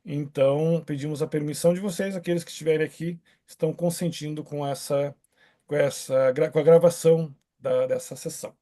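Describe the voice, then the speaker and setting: Male moderator, mellow and a touch high, hesitant delivery on a video call. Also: steady tone, a little fast. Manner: hesitant, many fillers and restarts. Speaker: event moderator. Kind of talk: opening remarks at an online event.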